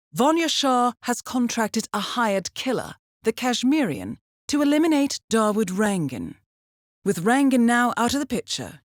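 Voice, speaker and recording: An actress playing a composed, articulate intelligence handler in a video game, briefing an assassin in a measured, precise, formal way. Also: female voice, medium pitch, no echo, clean studio sound. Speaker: mission handler.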